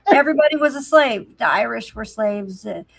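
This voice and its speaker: A woman's voice: a little high, lively intonation, normal speed, gravelly, dry recording. A woman chatting casually about her beliefs in a reflective, plain-spoken and opinionated way. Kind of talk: casual spiritual conversation.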